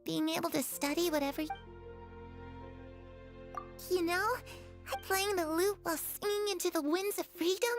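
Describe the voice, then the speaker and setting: A woman voicing a gentle young girl in a video game, wistful and curious, speaking earnestly. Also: very high voice, fairly even intonation, moderate pace, long pauses, over music. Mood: curious, playful. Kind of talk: video game character dialogue.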